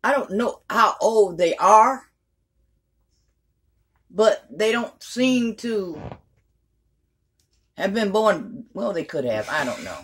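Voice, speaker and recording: Co-commentator: female, medium pitch, husky, thinking aloud haltingly in casual conversation. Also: animated. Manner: halting, reflective, explanatory. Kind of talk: casual chat.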